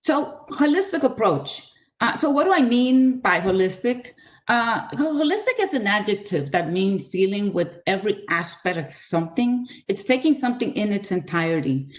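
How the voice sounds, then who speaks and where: A woman in her sixties, from the Dominican Republic and raised in New York City, giving a measured, earnest webinar presentation over a video call with frequent fillers. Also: mid-range voice, big pitch swings. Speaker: guest speaker, author.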